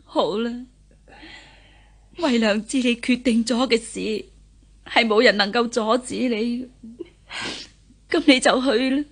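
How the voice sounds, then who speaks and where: An actress playing a grave, caring older lady of the house, giving solemn, measured instructions in a historical radio drama. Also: on the high side, fairly even intonation. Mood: resigned, worried.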